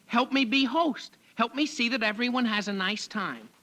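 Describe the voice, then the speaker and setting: Flustered teen boy, youthful bright voice, forties radio sitcom, old broadcast sound. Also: very high-pitched, moderate pace. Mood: eager. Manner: flustered, stammering. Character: eager, easily exasperated teenage boy hosting his party. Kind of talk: 1940s radio sitcom acting.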